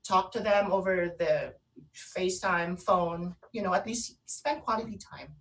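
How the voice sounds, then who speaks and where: A woman's voice: lowish voice, measured pace, fairly bright tone. A woman co-hosting a casual live talk show in an effusive, exclaiming way. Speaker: online radio show co-host. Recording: voice distant from the mic.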